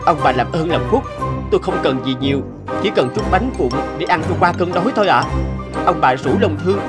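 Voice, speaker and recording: Humble, gentle-tempered poor woodcutter played by a man, lively pitch. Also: a little fast, loud music behind the voice, lightly acted. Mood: weary, pleading. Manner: polite, earnest. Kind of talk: animated fairy-tale dubbing.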